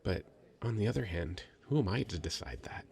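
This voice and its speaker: A man's voice: sits low, lively intonation, a little fast, vocal fry, a little mellow. A man playing a shy, sweet, nervous young nerd in an intimate ASMR roleplay, stammering and rambling self-consciously. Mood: awkward, sweet. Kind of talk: scripted ASMR roleplay, intimate conversational acting.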